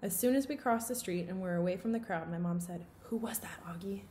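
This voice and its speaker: A woman reading a children's novel aloud in an expressive storytelling style. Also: fairly low pitch, fast, slight background noise.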